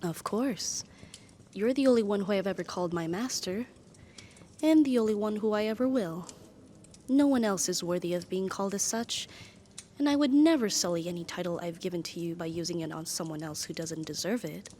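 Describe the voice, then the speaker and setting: A powerful female demon, acted by a woman, addresses the listener in a stately, formal manner as a possessive and devoted servant. Her voice sits at an average female pitch, with breathy touches in an intimate ASMR roleplay. There is clear noise in the recording. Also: brisk, mellow, natural voice. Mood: proud, devoted.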